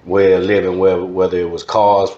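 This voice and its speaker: A man's voice: low-pitched, flat delivery, moderate pace. A middle-aged man with a Southern US accent, reminiscing casually as an interview guest in a studio. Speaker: interview guest, former radio DJ. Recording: slightly dull, roomy echoing sound.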